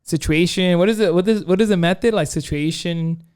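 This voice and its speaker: A man with a fairly high, smooth and clear voice talks loosely in casual podcast chat. He rambles through stories, stacking up "like" and restarting his sentences, with a joking edge. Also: a little fast, a little mellow. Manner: rambling, many "like" fillers, joking. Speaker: podcast co-host.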